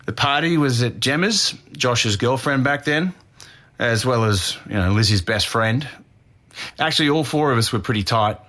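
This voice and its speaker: A man's voice: mid-range voice, normal speed, sharp tone, natural voice. Actor as young aussie guy in his 20s, defensive, earnest, slangy, like hes being interviewed as a suspect. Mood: casual, reminiscing. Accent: Australian. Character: defensive young Australian man, a murder suspect giving an interview. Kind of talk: naturalistic audio drama acting as an interview.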